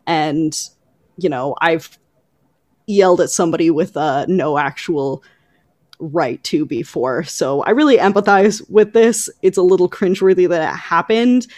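A woman co-hosting a podcast, talking through book news conversationally with hesitant pauses as she picks her words. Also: lowish voice, a little mellow, no room sound, studio quality. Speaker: podcast co-host.

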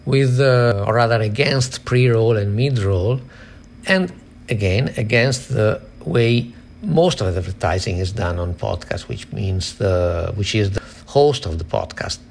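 Man, interview host, fry in the voice, thinking aloud as he asks, faint noisy backdrop. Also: lowish voice, measured pace. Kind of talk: conversational interview questions.

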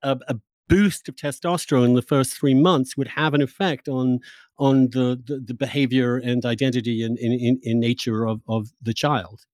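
A man's voice: medium pitch, fairly even intonation, darkish tone, no echo. A man hosting a conversational interview, candid and informal, often repeating words as he forms his thoughts. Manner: halting, repeats words while forming questions. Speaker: podcast host, interviewer. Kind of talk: conversational long-form interview.

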